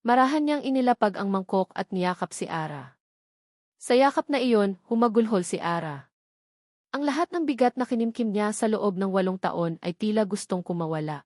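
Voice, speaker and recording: A woman reads a scripted romance drama in a measured storytelling voice. Her pitch sits in the middle range for a woman. The sound is dry and close, with no room around it. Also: animated, a little mellow, dry recording. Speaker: story narrator.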